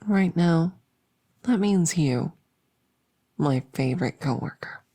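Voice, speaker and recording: A woman playing an anxious, overworked but friendly monster under the bed in a comedic ASMR roleplay, rambling and stammering softly, often slipping into a whisper. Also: sits low, husky, heavily acted. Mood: hesitant, affectionate. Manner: rambling, nervous, stammering and repeating herself.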